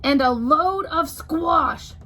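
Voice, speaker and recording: A woman acts out a scruffy, ill-tempered male varmint from a storybook. The creature is bossy and sarcastic, snarling its orders in a high-set voice with some creak, and drawing words out slowly. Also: lively intonation, sharp tone, a little hiss or hum, exaggerated voice. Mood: barking orders.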